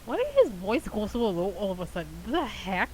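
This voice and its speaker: A woman giving casual, excitable reaction commentary to a game, often laughing. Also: medium pitch, brisk, a little hiss or hum, low-quality recording. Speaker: game streamer reacting to a story quest.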